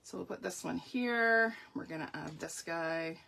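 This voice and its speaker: A woman hosting a live papercraft tutorial, talking through each step and greeting viewers by name, her voice going a little croaky. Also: lowish voice, unhurried, sharp tone. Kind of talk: live-stream craft demonstration.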